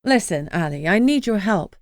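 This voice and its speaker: A woman's voice: medium pitch, lively intonation, moderate pace, dry recording, clean studio sound, natural voice. A woman reading a brash, irreverent young heroine's lines in an emphatic way.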